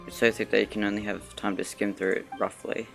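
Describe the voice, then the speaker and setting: A man streaming a video game casually, muttering in a subdued way and halting as he reads aloud. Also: fairly low pitch, little pitch movement, vocal fry, soft background music. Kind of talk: casual live-stream muttering while playing.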